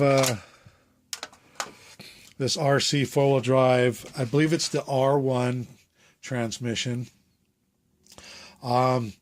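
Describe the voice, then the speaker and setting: Halting man with a phlegmy cold. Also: medium pitch, dry recording. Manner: halting, many fillers, unscripted. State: catching a cold, phlegmy throat. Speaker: RC hobbyist showing his build. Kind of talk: casual build update.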